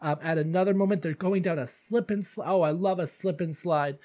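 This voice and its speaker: A man's voice: high voice, brisk, warm tone. A man hosting a casual solo podcast, chatty and gushing, calling his listeners darlings, a little stuffy from allergies. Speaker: podcast host.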